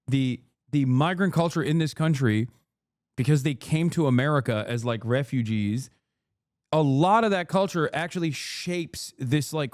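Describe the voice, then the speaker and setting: Guy streaming and ranting, swears a lot, kinda high voice, dry sound. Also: dry recording. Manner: profane, animated, rambling. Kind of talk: casual reaction commentary.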